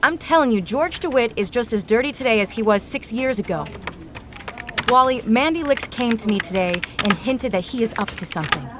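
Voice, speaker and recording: A woman's voice: on the high side, animated, a little fast, noisy background, lightly acted. An actress playing a hard-drinking, wisecracking, world-weary female private eye in a noir detective audio drama. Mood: insistent, pleading. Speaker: lead actor in a science fiction noir audio drama.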